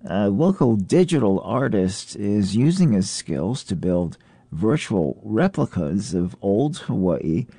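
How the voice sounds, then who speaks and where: A man with a mellow, lowish voice announces on local radio. He reads his scripted introduction slowly, with lively pitch movement. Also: slightly rough, no echo.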